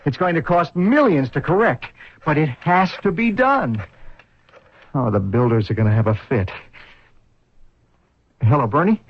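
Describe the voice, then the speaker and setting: An actor playing an agitated, desperate man in an urgent, halting and emphatic radio drama style. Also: fairly high pitch, very animated, full character voice. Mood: alarmed, urgent. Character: agitated engineer, desperate man revived in another body. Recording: dull, band-limited vintage radio recording.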